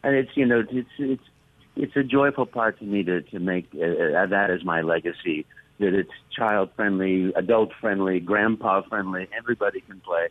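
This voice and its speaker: A man, a voice actor, telling stories as an interview guest with warm enthusiasm and excited repetitions. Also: deep voice, moderate pace, dry recording. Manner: warm, enthusiastic, repeats words when excited. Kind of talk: storytelling interview talk. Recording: dry, thin phone-like line.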